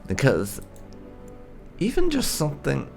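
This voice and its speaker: A man's voice: on the high side, wildly swooping pitch, a little slow, creaky, darkish tone, faint music behind. A man giving casual, chatty, explanatory commentary as he hosts a video game playthrough. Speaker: gaming video host.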